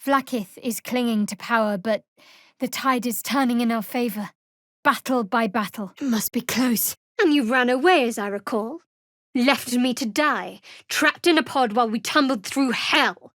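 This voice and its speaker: A guarded young female cleric of a dark goddess, played by a woman, speaks in a fairly high voice. Her delivery is sardonic, clipped and wry, as video game dialogue, recorded close and free of room sound. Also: normal speed, dry recording, close to an everyday voice. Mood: earnest, guarded.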